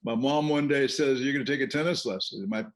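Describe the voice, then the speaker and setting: An older man, a longtime tennis coach, speaks as a guest in a podcast interview. He tells folksy stories in an easy, unhurried way at a middling pitch. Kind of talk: casual interview, storytelling.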